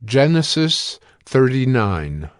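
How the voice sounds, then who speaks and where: A man narrating scripture from a script in a measured, solemn manner. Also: mid-range voice, very animated, dry recording, clean studio sound.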